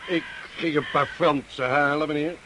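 An actor in a Dutch radio play playing a rough, cheeky Cossack scout who tells boastful tales with feigned innocence. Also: male voice, a little high, fairly even intonation, normal speed, sharp tone, slight background noise, rough recording. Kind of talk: Dutch radio drama acting.

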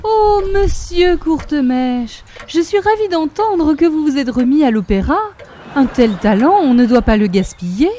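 A woman's voice: sits high, lively intonation, unhurried, warm tone, slight background noise. A woman playing a grandiose society lady crusading for culture in a comic radio play, effusive and flowery. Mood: gushing, coy. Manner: theatrical, effusive, flowery speech. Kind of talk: comic radio play in 1930s-40s style.

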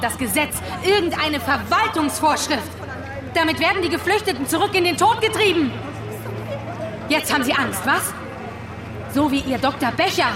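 A desperate woman holding people at gunpoint, her voice high and accusing. She bites out short, bitter phrases in a roomy echo with music underneath, in radio crime drama style. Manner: accusing, emphatic, clipped phrases.

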